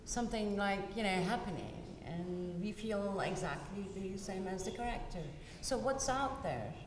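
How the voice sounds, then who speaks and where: A woman's voice: mid-range voice, moderate pace, a crowd in the background. A woman interviewing a guest on stage at a university, asking her questions tentatively as she searches for phrasing. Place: university lecture hall. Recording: echoey hall with room noise.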